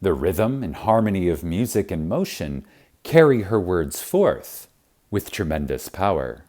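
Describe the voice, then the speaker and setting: A man narrating a spiritual audiobook in a reverent, emphatic manner, with deliberate pauses. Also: low voice, very animated, darkish tone. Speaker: audiobook reader. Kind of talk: scripted narration of a spiritual self-help audiobook.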